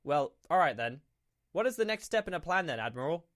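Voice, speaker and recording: A man's voice: on the high side, big pitch swings. A man acting as a cautious, deferential military officer in a sci-fi roleplay, speaking formally. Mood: cautious, dutiful. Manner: formal, measured advice-giving.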